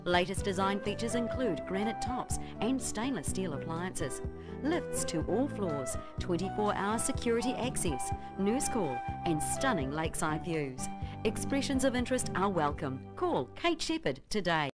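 A woman's voice: mid-range voice, sing-song, normal speed. A woman reading a scripted commercial voice-over as announcer. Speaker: advert announcer. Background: loud music.

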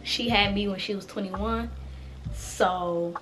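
A woman, chatting casually to camera as a vlogger, animated and talking straight to viewers, a little stuffy from a cold. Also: medium pitch, slow pace, a little hiss or hum, rough recording. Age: 20s. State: congested with a cold.

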